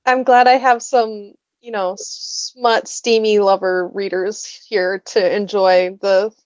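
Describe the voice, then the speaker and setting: A woman's voice: medium pitch, level tone, slow, darkish tone, clean tone, dry recording. A woman chatting casually in a livestream book discussion, with an informal delivery. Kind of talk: casual lively chat. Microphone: video call. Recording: dull, dry lo-fi call audio.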